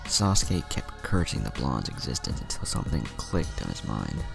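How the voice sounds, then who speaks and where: A man with an extremely low, heavily creaky voice narrates a scripted story. Music plays clearly behind his narration. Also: big pitch swings, normal speed. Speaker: fan fiction narrator.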